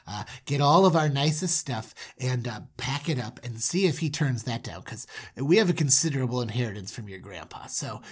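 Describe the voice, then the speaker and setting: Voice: mid-range voice, lively intonation, brisk, slightly rough. Performer as grumbling old patriarch, stubborn, slangy, comic storytelling. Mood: bargaining. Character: grumpy, stubborn old father bargaining with sons.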